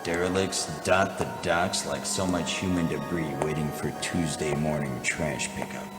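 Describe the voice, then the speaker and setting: An actor playing a weary, cynical, wry private eye in a deadpan radio noir parody. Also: male voice, a bass voice, normal speed, roomy sound, loud music behind the voice. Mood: brooding.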